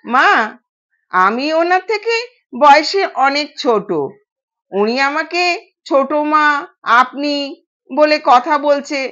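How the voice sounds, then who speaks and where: A woman's voice: sits high, lively intonation, slow pace, pauses often, highs cut off, exaggerated voice. A woman voicing a kind young newlywed daughter-in-law in an audio story, polite and earnest. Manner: gentle, polite, quietly insistent.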